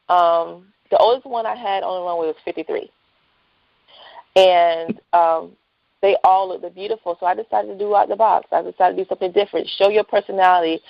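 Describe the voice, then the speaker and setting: A woman's voice: fairly low pitch, creaky, no room sound. A woman chatting casually as a guest in a phone interview. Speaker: interview guest, writer and entrepreneur. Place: phone call. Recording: dull lo-fi phone line.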